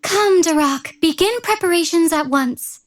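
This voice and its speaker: A woman's voice: very high voice, a little slow. A woman voicing spirited young female trainers in short scripted video game lines. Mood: poised, elegant.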